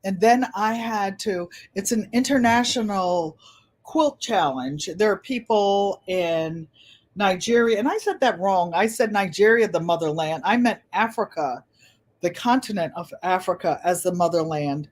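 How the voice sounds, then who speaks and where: Gentle-voiced woman in her seventies. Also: medium pitch, animated, unhurried. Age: 70s. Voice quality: gentle, pleasant voice. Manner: chatty, rambling, repeats phrases. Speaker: channel host. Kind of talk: casual livestream chat.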